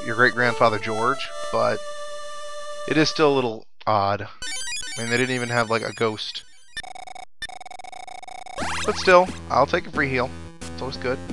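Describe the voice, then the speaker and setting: A man's voice: medium pitch, low-quality recording. A man giving casual video game commentary, musing to himself and reading bits of the story aloud. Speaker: let's play commentator. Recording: game music behind the voice. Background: video game music and sound effects.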